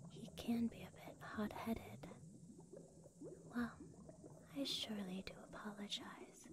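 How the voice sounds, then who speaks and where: Casting: actress as gentle young female demon-slayer medic, whispered ASMR delivery, unhurried and soothing. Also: medium pitch, steady tone, natural voice. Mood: gently teasing.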